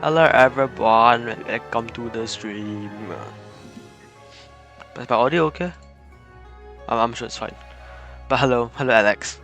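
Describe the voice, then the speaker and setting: Halting male game streamer over faint music. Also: mid-range voice, a bit bright. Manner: halting, thinking aloud. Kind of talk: casual live-stream chat while gaming.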